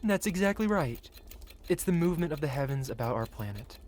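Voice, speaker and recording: A man's voice: fairly high pitch, animated, a little fast, a little mellow, a little hiss or hum, close to an everyday voice. A man playing a possessive, wealthy dragon merchant who speaks in formal, flowery, courteous phrases in an intimate fantasy ASMR roleplay. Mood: playful, intimate. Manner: formal, flowery, courteous speech addressed to one listener.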